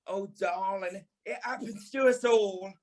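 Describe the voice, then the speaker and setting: A flamboyant old stage dame with a British accent, acted by a man. The voice is high and unhurried, drawling its endearments. The delivery is theatrical, bossy and bluntly tactless, as in an audio play. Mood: frank, reassuring. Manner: theatrical, tactless, drawling, calls people darling and lovey. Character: flamboyant, blunt older former dancer and ballet teacher, a dame.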